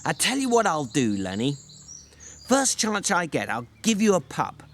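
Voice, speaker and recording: Irritable, exasperated male drifter played by a man reader for a dramatic audiobook, high-pitched, ranting delivery. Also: wildly swooping pitch, normal speed, a bit bright. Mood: placating. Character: small, irritable, exasperated ranch drifter man, secretly caring. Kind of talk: dramatic reading of a novel.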